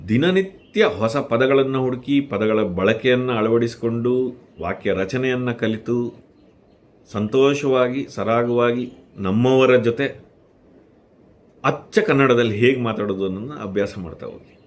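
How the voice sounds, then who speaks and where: A man giving instructional tips to viewers, earnest and emphatic on key words, with deliberate pauses. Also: mid-range voice. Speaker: host giving language-speaking tips.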